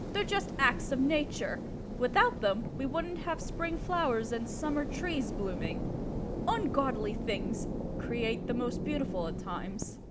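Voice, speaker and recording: A young noble lady played by a woman speaks in a fairly high voice. She is kind and proper, with formal, genteel phrasing and a gentle hesitancy. It is an intimate audio drama addressed straight to the listener. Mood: startled, composed.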